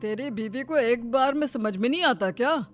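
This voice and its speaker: A woman's voice: a little high, normal speed, lightly acted. Woman acting a stern village patriarch, music behind. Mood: stern scolding. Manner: scolding, rigid. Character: stern traditional village patriarchs, scolding the young couple.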